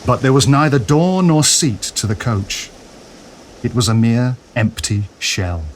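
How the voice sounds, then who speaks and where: A man's voice: medium pitch, lively intonation, a little slow, slight background noise. A man narrating a radio drama in a dramatic storytelling style. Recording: music and sound effects behind.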